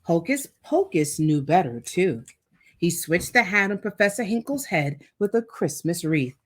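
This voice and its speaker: A woman reading a children's picture book aloud as a storytime host, expressive and deliberate for a young listener. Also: lowish voice.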